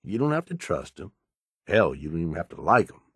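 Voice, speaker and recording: An actor voicing a gruff, streetwise raider adviser in a video game, with a husky voice and a blunt, coaxing, profane way of talking. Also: male voice, sits low, sing-song, brisk, a bit bright, dry recording, studio quality, exaggerated voice. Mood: confident, coaxing. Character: gruff, streetwise male raider right-hand man, pragmatic schemer. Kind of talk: video game character dialogue.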